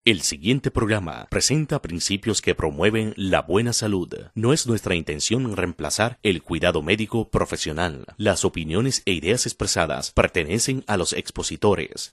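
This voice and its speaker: A man's voice: deep voice, fairly bright tone, no room sound, clean studio sound. A man working as an announcer, reading a scripted disclaimer in narration style.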